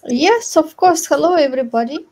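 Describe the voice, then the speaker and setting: A woman's voice: high voice, moderate pace, darkish tone. A woman from Ukraine with a Ukrainian accent, chatting casually as a guest on an online call. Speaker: guest participant. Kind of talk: casual greeting. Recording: clearly noisy call audio.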